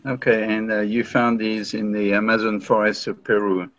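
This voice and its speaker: A man's voice: on the low side, flat delivery, normal speed, fairly bright tone, dry recording. A man hosting an interview show, asking plain, courteous questions. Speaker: podcast host. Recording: dry, dull lo-fi sound.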